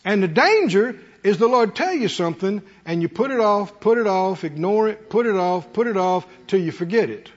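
A man's voice: sits high, expressive, moderate pace, few pauses. A middle-aged or older man preaches in a folksy, conversational way, telling homely stories and putting rhetorical questions to his congregation. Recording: muffled hall sound with long reverb.

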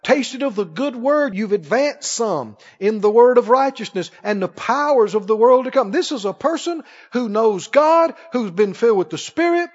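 Male preacher, high-pitched voice, emphatic Bible teacher firing short questions at the congregation; long church reverb. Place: large church hall, before a congregation. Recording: muffled, echoing large hall.

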